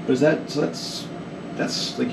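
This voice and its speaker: A man interviewing, asking short, curious follow-up questions. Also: unhurried. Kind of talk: casual questions. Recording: echoey cabin, distant mic, air conditioning hum.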